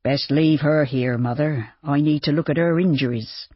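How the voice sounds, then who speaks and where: A practical, plain-spoken older farmer, calm and matter-of-fact, read by a storyteller in a near-normal voice. The tone is husky. The recording is dry and a little muffled. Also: mid-range voice, normal speed, no room sound, lightly acted. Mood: steady, practical.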